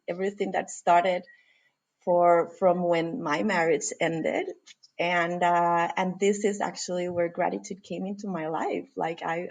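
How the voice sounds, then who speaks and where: Chatty Costa Rican woman, halting and warm. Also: on the low side. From Costa Rica. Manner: hesitant, many fillers and restarts; laughs often while talking. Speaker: guest. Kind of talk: casual interview conversation.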